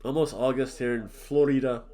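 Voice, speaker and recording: A man's voice: mid-range voice, steady tone, normal speed, dark tone. A man from New Jersey, nasal and sniffly from allergies, rambling and joking as a podcast co-host while drinking. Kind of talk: casual chat.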